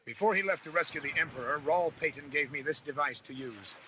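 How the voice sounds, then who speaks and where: A man plays an earnest rebel king with a fairly high voice and rapid delivery. He acts in a space adventure audio drama with music behind him. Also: close to an everyday voice.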